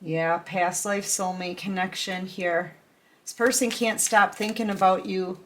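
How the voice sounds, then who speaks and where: A woman's voice: mid-range voice, steady tone, measured pace, a bit bright, a little hiss or hum. Chatty tarot-reading woman, a bit sick. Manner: chatty, affectionate, many fillers and restarts. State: sick, throat-clearing. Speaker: tarot reader.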